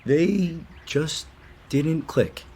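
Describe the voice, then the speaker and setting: A man's voice: on the high side, lively intonation, very slow, a little mellow, husky, exaggerated voice. A man acting in an audio soap opera as a defensive, sarcastic younger brother who runs the family company and drinks too much. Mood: evasive. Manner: irritable, sarcastic.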